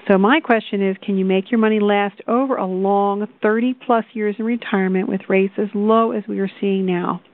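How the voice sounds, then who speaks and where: A woman giving a measured explanatory talk as a financial advisor on a conference call, posing rhetorical questions over a telephone line. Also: medium pitch, fairly even intonation. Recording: tinny, narrow phone line.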